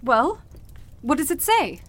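A woman's voice: sits high, big pitch swings, slow pace, a bit bright, heavily acted. A woman playing an anxious, questioning guest in a golden age style radio mystery.

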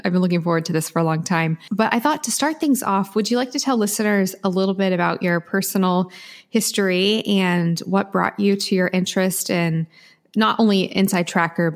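A woman hosting a health podcast, upbeat and emphatic as she introduces her guest. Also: mid-range voice. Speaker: podcast host. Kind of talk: enthusiastic podcast interview.

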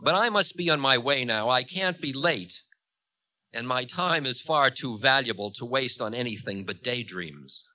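A man's voice: mid-range voice, lively intonation, moderate pace, sharp tone, dry recording. A man voicing a mocking, fearless demon king who makes scornful, grandiose declarations in a mythological story. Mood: commanding.

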